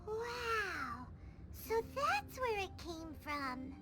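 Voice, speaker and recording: A woman voicing a tiny, bubbly, childlike fairy guide in a video game, squeaky, cheerful and boastful. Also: sing-song, extremely slow, heavily acted. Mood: amazed. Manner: cheerful, boastful, third-person self-reference. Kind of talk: scripted English video game voice acting. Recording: loud game music behind voice.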